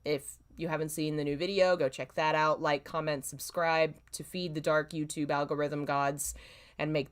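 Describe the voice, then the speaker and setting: A woman hosting a casual livestream, talking in a self-deprecating, dramatically exasperated way. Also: low-pitched. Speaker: livestream host. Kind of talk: casual livestream chat, reading own old fan fiction.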